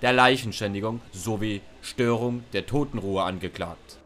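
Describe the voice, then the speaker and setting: A man's voice: on the low side, moderate pace, fairly bright tone, lightly acted. A man playing a zealous, pompously formal prosecutor in a comedy courtroom audio play.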